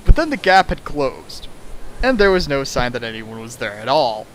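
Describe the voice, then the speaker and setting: A man's voice: mid-range voice, big pitch swings, moderate pace, a bit bright, poor audio. A man doing a mocking dramatic reading of fan fiction with sarcastic, hammy asides, recorded at home with hiss.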